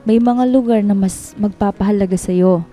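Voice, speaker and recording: A woman's voice: mid-range voice, moderate pace, mellow, soft background music, lightly acted. A woman playing a loyal, protective coworker, supportive and reassuring, with a Filipino accent in a radio drama. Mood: caring. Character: loyal, protective office friend, caring and principled.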